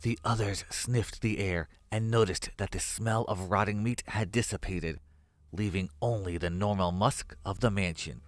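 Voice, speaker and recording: Low-voiced man narrating horror. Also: normal speed. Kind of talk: scripted horror story narration.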